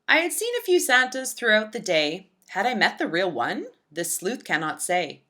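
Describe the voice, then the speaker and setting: A woman narrating a rhyming children's storybook in an expressive, deliberate storytelling manner for young listeners. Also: medium pitch. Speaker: children's book read-aloud narrator.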